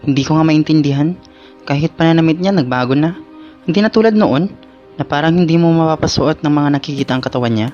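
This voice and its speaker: A man's voice: a little high, fast, darkish tone, close to an everyday voice. A man acting a dutiful, peacemaking teenage son in an amateur Filipino radio drama. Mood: puzzled. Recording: dull lo-fi sound, music bed.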